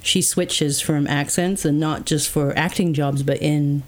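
A woman's voice: sits low, steady tone, a little slow. A woman guest in a casual interview, talking reflectively with many fillers like "like" and "um", with faint background noise. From England.

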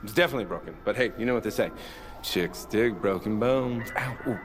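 An actor playing a goofy, excitable young man, a reluctant hero in a comedic action audio drama, who yelps, whines and wisecracks. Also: lowish voice, brisk, big-room sound, music in the background, lightly acted. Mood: wry banter. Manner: yelping, whiny, wisecracking.

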